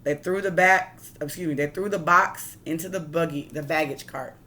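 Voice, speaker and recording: A woman's voice: low voice, moderate pace, sharp tone. A woman reading a picture book aloud like a teacher, expressively, with casual asides that explain and question her listeners.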